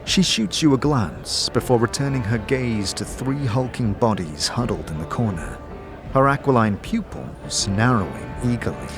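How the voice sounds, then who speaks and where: A man reading scripted, dramatic fantasy narration as a storyteller, in a measured, descriptive manner. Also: mid-range voice, expressive. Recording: music behind the voice. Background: background music.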